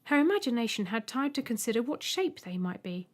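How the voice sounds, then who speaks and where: High-voiced woman narrating a story. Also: sing-song, brisk. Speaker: audiobook narrator.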